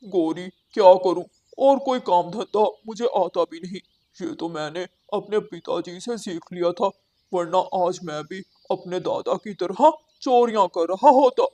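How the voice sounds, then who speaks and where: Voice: mid-range voice, a little slow, dark tone, natural voice. An actor voicing a hardworking, polite male crow house painter in a children's cartoon, earnest and reassuring. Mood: resigned. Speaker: cartoon character voice. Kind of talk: Hindi children's cartoon dialogue.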